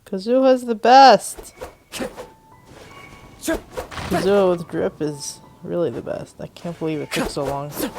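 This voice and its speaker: A woman's voice: sits low, moderate pace, gravelly, darkish tone, a little hiss or hum. A woman giving casual, offhand gameplay commentary, thinking aloud like an enthusiastic fan. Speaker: gameplay streamer. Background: game music.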